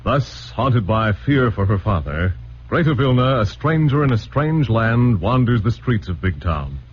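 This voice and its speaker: A man's voice: mid-range voice, expressive, unhurried. A male announcer giving dramatic, polished 1940s radio drama narration. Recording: muffled old radio broadcast with faint hiss.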